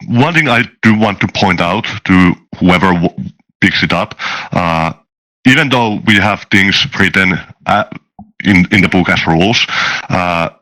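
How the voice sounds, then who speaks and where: Deep, bright-toned man, halting delivery. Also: rough recording. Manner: halting, careful, hedged explanations. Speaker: game developer on a panel. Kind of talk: casual discussion.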